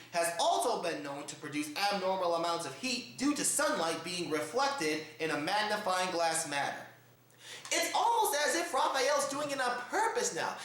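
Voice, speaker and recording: A man's voice: high voice, fairly bright tone. A man hosting a satirical news report, addressing his audience formally in a theatrical, mock-dramatic manner. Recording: very echoey, reverberant room.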